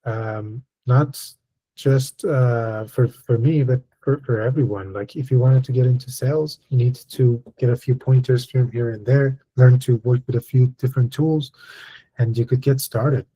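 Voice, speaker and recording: A man from Iran, in his thirties, speaking as a guest at an online session and telling his career story in a measured, thoughtful way with frequent fillers. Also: mid-range voice, mellow, slightly rough, poor audio. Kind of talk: personal story in a webinar talk.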